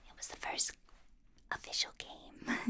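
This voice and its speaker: A woman voicing a possessive ancient female vampire boss in a whispered ASMR roleplay, soft and doting with a quiet menace, close to the microphone. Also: slow pace, close to an everyday voice. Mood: sweetly menacing.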